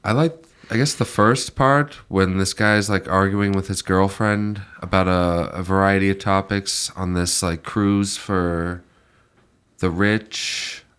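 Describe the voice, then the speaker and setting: A man's voice: low voice, fairly even intonation, takes it slowly, fairly bright tone, clean tone. A man co-hosting a podcast in casual, easygoing chat, a little nasal from a stuffy nose. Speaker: podcast co-host.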